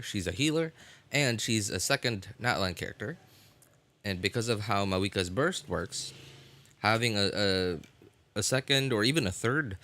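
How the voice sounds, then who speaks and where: A man chatting casually on a game livestream, often halting and rephrasing as he answers viewers. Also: fairly low pitch, pushed and bright. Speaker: live streamer. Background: music.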